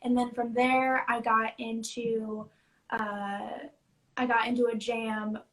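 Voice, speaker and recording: A young woman in her early twenties talks in a loose, chatty way over a live phone video call, filling her sentences with "like" and "you know". Her voice sits a touch higher than most. She comes across as a songwriter guest in a relaxed interview. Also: takes it slowly, poor audio. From United States. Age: 20s. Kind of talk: casual interview chat.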